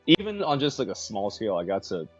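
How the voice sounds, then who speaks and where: Young American guy, casual interviewee, quick and loose, lowish voice, muffled lo-fi sound. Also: big pitch swings, fairly bright tone, husky, faint music behind. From United States. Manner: laid-back, chuckles often, hedges with "like". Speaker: language program student.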